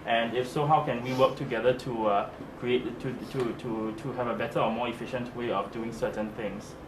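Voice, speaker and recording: A man's voice: medium pitch, fairly even intonation, moderate pace. A man explaining his role on a project team in a hesitant, casual way with many fillers. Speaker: team member in charge of the design of digital objects. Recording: echoey room with noise.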